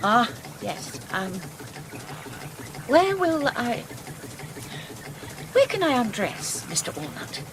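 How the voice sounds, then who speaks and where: A prim, lively missionary lady. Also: a little high, slow, pauses often, background noise, heavily acted. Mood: determined. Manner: prim, insistent, proper. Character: prim, determined missionary spinster. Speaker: actor. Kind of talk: radio drama acting.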